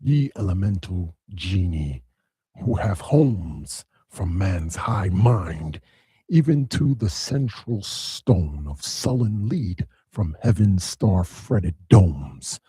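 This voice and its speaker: A man's voice: expressive, dark tone, no room sound, full character voice. A man voicing Demogorgon, a vast primal power, in a solemn dramatic verse reading. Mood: grave. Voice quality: deep, husky, slow and solemn.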